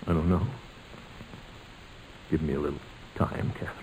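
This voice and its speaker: An American actor playing a sentimental middle-aged uncle in an old-time radio sitcom, speaking haltingly and deliberately. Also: male voice, a bass voice, fairly even intonation. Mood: subdued, wistful. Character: sentimental middle-aged uncle and family patriarch, hesitant, tender. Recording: noisy vintage radio recording.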